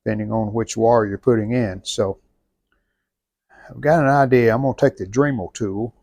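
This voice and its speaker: A man's voice: medium pitch, fairly even intonation, normal speed, creaky, darkish tone, no room sound. An American man narrating hands-on repair work in a folksy, plainspoken way, thinking aloud as he goes. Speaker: host of a radio restoration channel.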